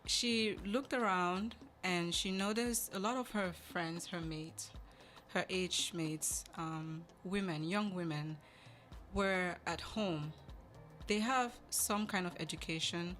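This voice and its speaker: Female interview guest, low voice, Cameroonian accent, conversational delivery. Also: slow, a bit bright, soft background music. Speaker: podcast guest, charity representative.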